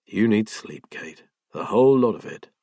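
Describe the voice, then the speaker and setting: A man's voice: animated, moderate pace, warm tone, no room sound, clean studio sound. A man voicing a patient husband, hurt but firm and coaxing toward his wife. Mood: hurt, soothing. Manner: firm, coaxing, low-key.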